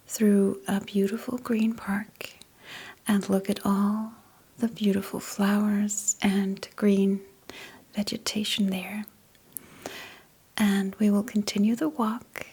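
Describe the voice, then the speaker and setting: Very slow whispering woman. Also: mid-range voice. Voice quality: breathy whisper. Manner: soothing, gentle, long pauses between short phrases. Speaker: meditation guide. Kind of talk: ASMR guided sleep meditation.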